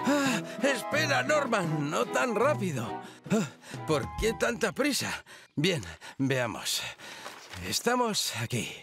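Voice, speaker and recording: An eager but hesitant bumbler of a man from a cartoon. He speaks slowly and haltingly, creaky and swooping, flustered. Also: high voice, sing-song, exaggerated voice. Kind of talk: animated children's cartoon dub. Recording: loud music under the voice.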